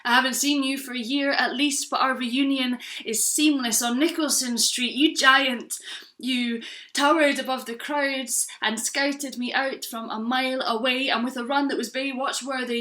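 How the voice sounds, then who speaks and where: Bright-voiced woman reciting spoken word. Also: high voice, steady tone, normal speed. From Scotland. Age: 20s. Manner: rhythmic, earnest recitation. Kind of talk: spoken word poetry performance.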